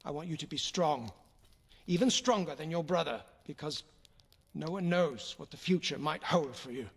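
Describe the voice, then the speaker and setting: An actor playing a royal uncle and sword instructor in an audio game, firm, formal and encouraging. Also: male voice, fairly high pitch, normal speed, breathy edge. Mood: grave.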